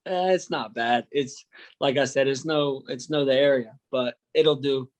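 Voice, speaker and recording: A man's voice: mid-range voice, measured pace. A man who coaches wrestling, chatting in an easygoing, joking way as an interview guest. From United States. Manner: easygoing, joking, uses slang. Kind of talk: casual interview.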